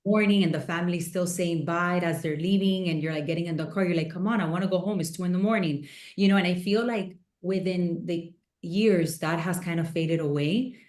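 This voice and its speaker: A woman's voice: lowish voice, a little fast, a little mellow, echoey room. A woman from Miami co-hosting a podcast, chatting casually and telling stories with lots of fillers. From Miami, USA. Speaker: podcast co-host. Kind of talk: casual chat.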